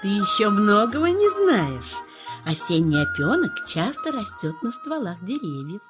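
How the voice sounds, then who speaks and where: A woman voicing a knowing, bossy motherly animal in a children's audio play, expressive and instructive. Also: medium pitch, a little slow, over music. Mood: patient, instructive.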